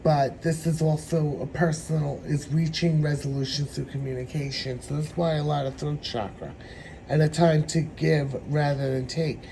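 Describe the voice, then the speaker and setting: A man with a hoarse, troubled throat giving a meandering, reflective spiritual tarot reading. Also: fairly high pitch, steady tone, dark tone, a little hiss or hum, low-quality recording. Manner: meandering, reflective, slow-spoken. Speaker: tarot reader.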